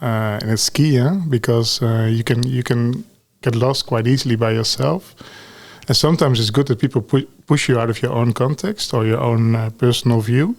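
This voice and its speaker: A man's voice: mid-range voice, normal speed. A man in his forties with a Dutch accent speaks easily, answering like a guest in a podcast interview. He often tucks a questioning "eh?" or "huh?" onto the end of a point. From Netherlands. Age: 40s. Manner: relaxed, tags sentences with "huh?" and "eh?". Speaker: guest, talent lead. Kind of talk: podcast conversation.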